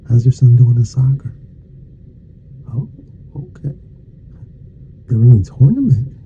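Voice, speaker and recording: Soft asmr guy playing a dentist, real slow n murmury, folksy and reassuring, kinda muffled. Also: medium pitch, big pitch swings, a little hiss or hum. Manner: folksy, reassuring small talk in short murmured phrases. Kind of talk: ASMR roleplay, soft-spoken to half-whispered.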